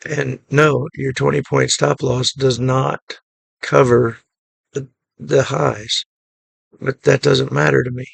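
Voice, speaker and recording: Gravelly, hoarse man in a flat monotone. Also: medium pitch, takes it slowly, pauses often, dry recording. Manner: relaxed, matter-of-fact, repeats himself. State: hoarse from a cough. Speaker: day trading channel host. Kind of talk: casual screen-share trade recap.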